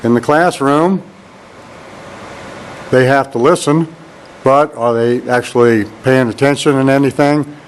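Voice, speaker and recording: Deep monotone man, lab tour. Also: measured pace, long pauses, a little hiss or hum, low-quality recording. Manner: rambling, says "all right" often. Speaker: networking instructor. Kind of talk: casual classroom tour walkthrough. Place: empty computer lab with equipment racks. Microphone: handheld camera mic while walking.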